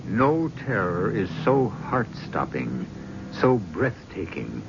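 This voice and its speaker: Slow, ominous radio host. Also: male voice, on the low side, very animated, creaky, noisy background. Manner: ominous, measured. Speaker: host and narrator. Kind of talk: theatrical radio narration. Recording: dull old radio broadcast with music behind.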